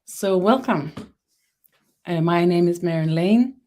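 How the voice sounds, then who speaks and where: Danish woman host, lowish mellow voice, halting English, guided meditation. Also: lively intonation. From Denmark. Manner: slow and measured, halting with fillers and restarts; soft, guiding instructions. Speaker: webinar host, energy worker. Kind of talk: live-streamed webinar with guided body meditation.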